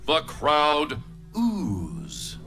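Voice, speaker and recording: A man's voice: a little high, huge pitch swings, very slow, pushed and bright. A man reading stage directions aloud at a live staged reading, playful with jokey asides. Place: convention stage. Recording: live stage recording with music.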